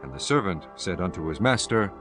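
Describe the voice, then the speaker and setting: A man's voice: low voice, sing-song, fairly quick, over music. A man giving a solemn, formal scripted narration of scripture as the narrator.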